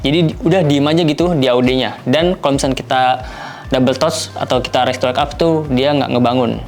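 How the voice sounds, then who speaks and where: A man's voice: mid-range voice, fairly quick. A man with a colloquial Jakarta Indonesian accent hosting a product review in an informal, explanatory way. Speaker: product reviewer, video host. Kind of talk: casual scripted gadget review.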